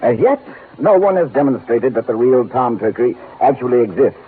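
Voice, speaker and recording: A man's voice: mid-range voice, animated, moderate pace, close to an everyday voice. An actor playing a prim, pompous spokesman, formal and fussy, in 1950s radio drama style. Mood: haughty. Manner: formal, fussy, hesitant when recalling. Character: prim, pompous male spokesman guarding his client. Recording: noisy, narrow-band old radio broadcast.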